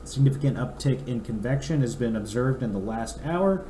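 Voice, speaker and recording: A young man co-hosting a podcast, his voice a little raspy and mellow. He chats loosely and reads a forecast aloud, stopping for asides. The room is echoey, with faint noise underneath. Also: medium pitch, expressive, moderate pace. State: raspy throat. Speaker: podcast co-host. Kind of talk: casual chat.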